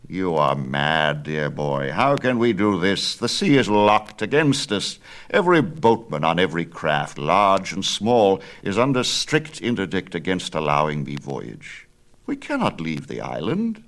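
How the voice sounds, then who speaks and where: A man voicing a cautious old craftsman and fond father, declaiming gravely in a dramatic storybook reading. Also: low-pitched, sing-song, measured pace, a bit bright, full character voice. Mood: alarmed, emphatic.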